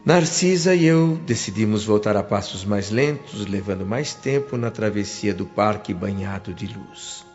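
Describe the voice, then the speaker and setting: A man plays a wondering traveler in a spirit world, with a Brazilian accent. His middle-low voice is measured, literary and expressive, as in radio drama narration. Soft music sits behind a slightly muffled recording. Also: close to an everyday voice. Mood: measured, awed.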